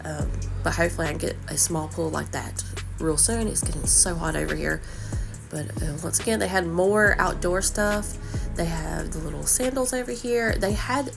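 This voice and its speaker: A woman's voice: fairly low pitch, moderate pace, creaky, over music. A woman in her thirties, stuffy from a cold, chatting upbeat about products in a casual shopping vlog voice-over. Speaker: vlogger.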